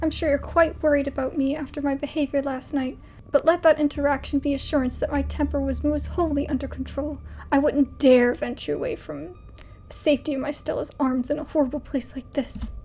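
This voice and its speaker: A woman's voice: sits high, little pitch movement, a little fast, darkish tone, soft background music. A woman voicing a fussy, formal companion who speaks in haughty, old-fashioned diction. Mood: prim.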